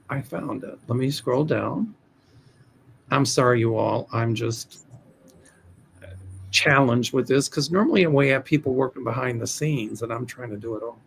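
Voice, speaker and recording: An older man hosts a casual psychic livestream, his voice gravelly and fry-laden at a middling pitch. He rambles and restarts often. A faint hiss sits behind him. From United States. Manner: rambling and hesitant, with frequent restarts. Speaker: psychic host of a livestream show. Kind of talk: casual livestream chat, reading viewer questions.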